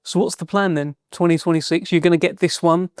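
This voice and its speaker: A man's voice: fairly high pitch, fairly even intonation, fairly quick, smooth, no echo, clean studio sound. A man interviewing a boxer, asking friendly, prompting questions. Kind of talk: sports interview.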